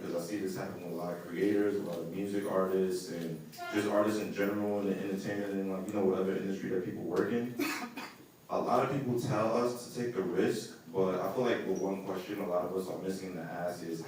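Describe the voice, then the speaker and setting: A man with a deep voice asking a panel a question in a casual, earnest way at a live event. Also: a little mellow, a little hiss or hum. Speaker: audience member asking a question. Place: large indoor event space. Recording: echoey hall from far off.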